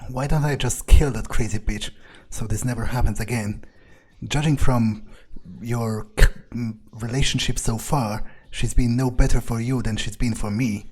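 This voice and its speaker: A man's voice: mid-range voice, fairly even intonation, moderate pace, darkish tone, breathy edge, rough recording. A man doing in-character video game commentary, thinking aloud in a blunt, muttering, profane way. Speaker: video game player role-playing his character. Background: game music.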